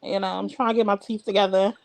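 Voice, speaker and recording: A woman's voice: medium pitch, flat delivery, quick pace, gravelly, dry recording, poor audio. A woman hosting a casual livestream, talking bluntly and straight to her viewers. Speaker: livestream host. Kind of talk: casual live chat.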